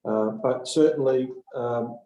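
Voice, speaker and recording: A man in his fifties, a police officer, speaking haltingly with many fillers on a video call from an office. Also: mid-range voice, dark tone, dull audio. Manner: halting, many ums and uhs. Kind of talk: online panel discussion. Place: office with blinds. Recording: roomy laptop audio.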